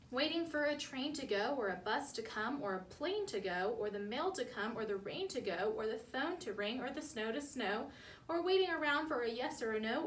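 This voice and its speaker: High-voiced woman reading aloud, roomy sound. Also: fairly bright tone. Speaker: school librarian reading aloud. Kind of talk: children's picture book read-aloud. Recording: roomy, distant mic, background noise.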